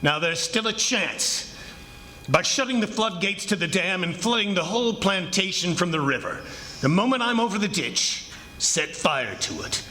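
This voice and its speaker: An actor playing a bold, defiant plantation owner in a declamatory 1940s radio adventure style with dramatic pauses. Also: male voice, high voice, animated, slight background noise, low-quality recording. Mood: resolute, rallying. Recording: loud dramatic music behind the voice. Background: loud music.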